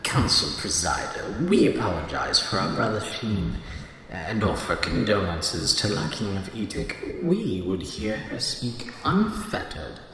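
A man plays a scheming alien overlord of a space radio play. He is formal and courtly, with a low, expressive voice echoing through a great hall. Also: a little hiss or hum, heavily acted. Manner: formal, measured politeness, says "we". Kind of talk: radio drama acting. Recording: echoey hall reverb with music.

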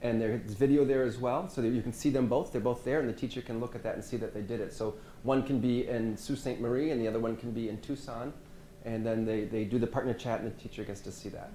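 A man, a French instructor, talking a workshop audience through his teaching in a conversational way, letting his phrase ends drop off quietly. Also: medium pitch, steady tone, fast. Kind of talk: workshop presentation and discussion. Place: medium-sized seminar room with audience. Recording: echoey room, faint hiss.

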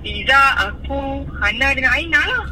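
A young woman with a Malaysian accent speaks in a high, very animated voice. She chats quickly and defensively, breaking into exclamations. She comes through a phone speaker with clear background noise. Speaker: prank call recipient. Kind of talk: casual phone chat. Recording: noisy phone call played in car.

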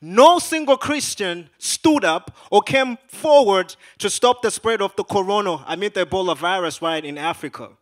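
A man's voice: high-pitched, moderate pace, few pauses. A young man from Malawi whose first language is Chichewa, introducing his songs from an auditorium stage on a handheld mic. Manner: call-and-response shouting, then relaxed joking talk. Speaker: rapper, student performer. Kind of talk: hyping a crowd and introducing songs.

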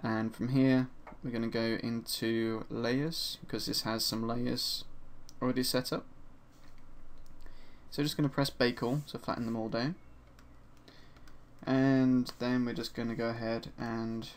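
A man with a gravelly, slightly hoarse voice talks through a screen-recorded lesson at his desk. He is relaxed and chatty, filling pauses with ums and uhs as he explains each step. His voice sits at an ordinary middle pitch. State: slightly hoarse from a sore throat. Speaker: 3D art instructor. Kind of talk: screen-recorded software tutorial narration.

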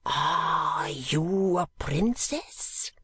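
A woman voicing an eccentric, courtly old gentleman in a storybook, with pompous, grandiose phrasing. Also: medium pitch, very animated, no echo, voice pushed up. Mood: eager, anxious. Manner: florid, pompous, drawn-out phrasing.

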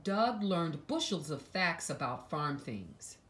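Slow, very animated woman reading to children. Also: sits low, a little hiss or hum. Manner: dramatic emphasis, with hushed asides to young listeners. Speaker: storyteller reading a picture book aloud to children. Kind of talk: expressive read-aloud storytelling for young children.

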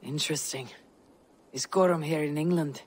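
A woman playing a bold, gruff Viking warrior in a video game, with a low husky voice. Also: steady tone, moderate pace, a little mellow. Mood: resolute. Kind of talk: video game cutscene acting. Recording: clear background noise.

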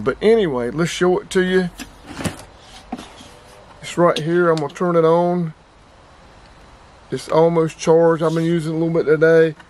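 A man's voice: a little high, a little mellow. A man in his fifties hosting a casual vlog, talking through a product demo in a plain-spoken, rambling way that repeats itself, close to the camera in a small storage room. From North Carolina. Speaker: outdoors and radio channel host.

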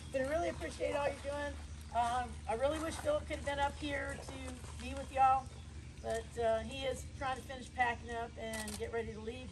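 A woman's voice: high-pitched, background noise. A woman chatting in a friendly, rambling way outdoors, picked up by a camera a few feet away. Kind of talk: casual chat.

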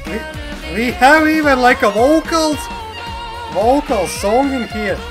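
High-voiced man reacting over music. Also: slow. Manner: excitable, exclamatory, repeats phrases. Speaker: reaction video host. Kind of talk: casual off-the-cuff reaction commentary. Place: indoor room. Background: game trailer music.

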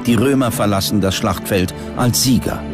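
A man reading scripted documentary narration in a measured, dramatic way. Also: on the low side. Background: music.

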